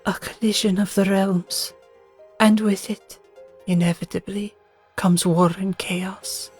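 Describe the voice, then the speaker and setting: A woman playing a grave seer in a fantasy audio drama, with a breathy voice and an ominous, measured delivery. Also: mid-range voice, steady tone, a little mellow, faint music behind. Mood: ominous, somber. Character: grave female seer foretelling war and suffering.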